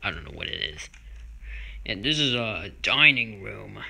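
Halting, low-pitched man with swooping intonation. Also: sing-song, gravelly, bright, forceful tone. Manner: hesitant, halting, unsure. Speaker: vlogger giving a house tour. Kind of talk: casual improvised home tour narration. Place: indoors, moving through rooms of a house. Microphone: handheld phone or camera mic. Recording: noisy handheld home video, clicks.

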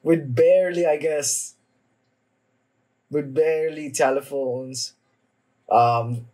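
A young adult man giving casual reaction commentary in a halting, hesitant way, often repeating himself. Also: on the high side, steady tone, warm tone. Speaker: anime reaction video host. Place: small room at home, indoors.

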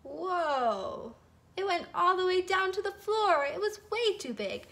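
A young woman reads a children's felt board story in a high, bouncy voice that swoops up and down. She takes her time, dropping to whispers and gasping for effect. It sounds like a phone recording made in a living room. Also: a little slow. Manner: sing-song, expressive, gasps and whispers for effect. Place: home living room, indoors. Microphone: phone camera held over the felt board.

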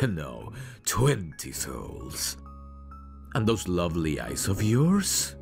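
A man playing a very deep, creepy mad scientist. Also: heavily acted. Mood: admiring, eerie. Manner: slow, intimate, theatrical. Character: possessive, creepy, doting male mad scientist. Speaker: ASMR voice actor. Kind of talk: ASMR roleplay monologue. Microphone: close microphone. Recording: close-miked voice over clear music. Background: music.

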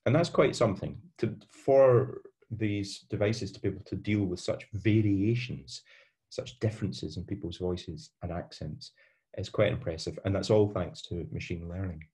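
A man's voice: deep voice, normal speed, warm tone. A young man with a Scottish accent giving an online university lecture over a webcam, hesitant with many fillers and restarts. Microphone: webcam on a video call.

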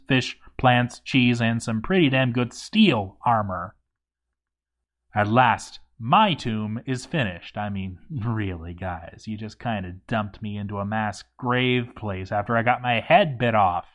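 A man reading a scripted game story in character, with wry, sarcastic asides. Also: medium pitch. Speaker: narrator of a game story read-through.

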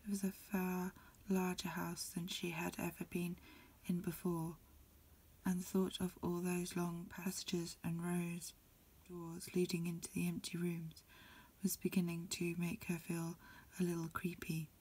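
Breathy whispering woman, ASMR reading. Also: lowish voice, completely flat delivery. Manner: soft, unhurried, phrase-by-phrase reading. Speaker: amateur reader. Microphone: lo-fi home recording.

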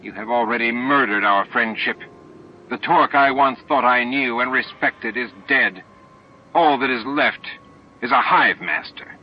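A man's voice: mid-range voice, unhurried, slight background noise, pitched above the performer's own voice, natural voice. Man voicing a sneering, angry alien radical, muffled tape. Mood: bitter anger. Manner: snorting, sulky, snarling, contemptuous. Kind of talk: dramatized audiobook reading. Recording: dull lo-fi audiobook tape with music.

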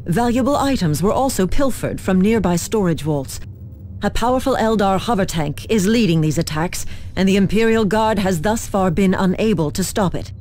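An actress playing a formal, coolly official human military liaison officer who gives measured briefings in a video game. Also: female voice, mid-range voice, darkish tone, slight background noise, natural voice. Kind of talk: scripted game mission briefing.

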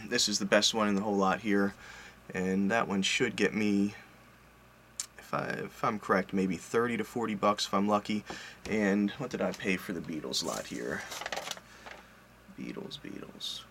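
Casting: man in his forties, lowish voice, offhand and chatty, webcam audio with faint room hum. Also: measured pace, a bit bright. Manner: chatty, rambling, self-correcting, offhand. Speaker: collector and online reseller. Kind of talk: casual vlog update. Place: home office full of collectibles, indoors. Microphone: webcam at desk, seated.

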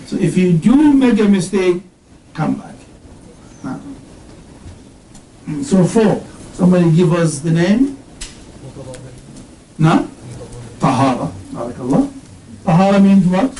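A middle-aged male religious teacher with a fairly high voice, asking his students questions and unpacking each term with patience, now and then reciting Arabic verses. He is heard in a roomy classroom and sounds a little distant from the mic. A faint hum sits behind him. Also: very animated, warm tone. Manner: questions the audience, explains terms slowly, recites Arabic verses. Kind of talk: interactive religious lesson. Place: indoor classroom with whiteboard.

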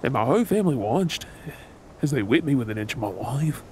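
A man playing a gruff, wounded, bitter yet tender pirate captain in a role-play drama, sardonic and brooding with dramatic pauses. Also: medium pitch, big pitch swings, a little mellow, slight background noise, natural voice. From Australia.

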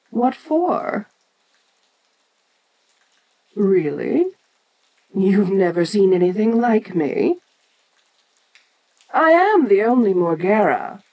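Woman as a slow-talking, haughty giant monster queen. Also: mid-range voice, a little mellow, exaggerated voice, poor audio. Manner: haughty, deliberate, speaking down to a lesser creature. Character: ancient giant female kaiju monster, imperious, domineering, curious. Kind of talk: audio roleplay acting.

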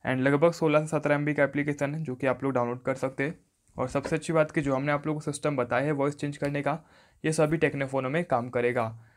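A man's voice: a little high, steady tone, quick pace. A man giving an instructional phone tutorial voice-over, often repeating stock phrases. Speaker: tech tutorial host.

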